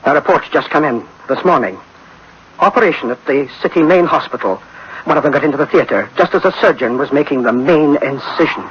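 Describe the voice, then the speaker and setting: An actor playing a worried, guilt-ridden scientist in a science fiction radio drama, speaking in tense, clipped phrases. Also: male voice, a little high, expressive, brisk, husky. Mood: grave, worried. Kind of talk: dramatic sci-fi radio acting. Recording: dull, faintly noisy old radio recording.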